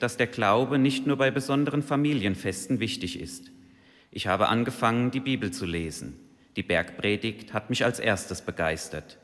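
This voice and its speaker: A man giving a calm, measured personal testimony during a church service. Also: medium pitch. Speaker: church council member. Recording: long church reverb.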